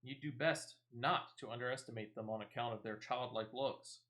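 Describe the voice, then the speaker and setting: A man's voice: medium pitch, moderate pace, a bit bright, lightly acted. A man voicing a playful young woman in a fantasy game, the daughter of an old adventurer, who tells her tale teasingly. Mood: amused. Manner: playful, giggly.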